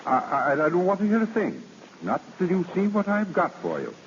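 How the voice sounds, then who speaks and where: An actor playing a doting, eager gentleman in an old radio drama, affectionate and brisk in his talk. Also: high-pitched, wildly swooping pitch. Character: doting, eager wealthy gentleman, devoted fiancé. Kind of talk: 1930s-40s radio drama acting. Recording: dull old radio recording, faint noise.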